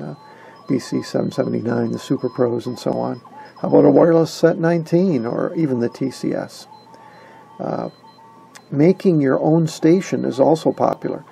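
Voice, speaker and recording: A man giving a casual, halting, conversational explainer as an enthusiastic radio hobbyist. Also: medium pitch, vocal fry, dark tone, slight background noise, low-quality recording. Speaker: amateur radio hobbyist presenter.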